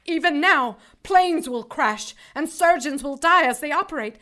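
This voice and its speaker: A woman's voice: high-pitched, animated, unhurried, bright, forceful tone, heavily acted. A woman playing an anguished angel in an audio drama, imploring and impassioned. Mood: grave warning. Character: anguished, pleading angelic woman condemned by her kin.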